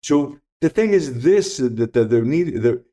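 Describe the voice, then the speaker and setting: A man's voice: medium pitch, animated, measured pace, mellow. A middle-aged man whose first language is Greek, a podcast guest telling stories and reflecting in an earnest, emphatic way. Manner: earnest, emphatic, repeats key points. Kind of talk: reflective conversational storytelling.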